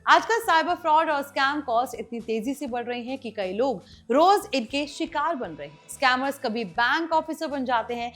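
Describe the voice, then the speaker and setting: A woman's voice: high-pitched, animated, moderate pace, hardly any pauses, a bit bright. A woman with an Indian accent hosting tech news, explaining scripted items in a presenter's manner. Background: background music.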